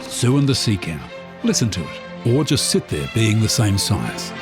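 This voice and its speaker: A man's voice: on the low side, big pitch swings, normal speed, music in the background. A man presenting as a radio announcer with scripted, mock-epic promo narration.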